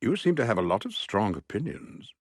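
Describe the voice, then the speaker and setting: A husky, reserved British therapist. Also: male voice, fairly low pitch, huge pitch swings, no echo, studio quality, heavily acted. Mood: calm. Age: older. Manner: measured, polite and reserved. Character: calm older male therapist. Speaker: child psychologist. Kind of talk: animated sitcom voice acting.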